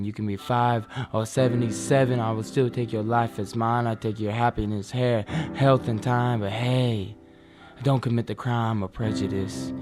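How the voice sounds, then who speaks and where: A young man performing slam poetry with a dramatic, rhythmic, emphatic and deliberate delivery. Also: mid-range voice. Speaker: slam poet.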